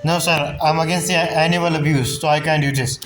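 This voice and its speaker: A woman's voice: low voice, fairly even intonation, fast. A woman game streamer giving casual, joking, offhand reactions as she plays. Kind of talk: casual gameplay commentary. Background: video game music.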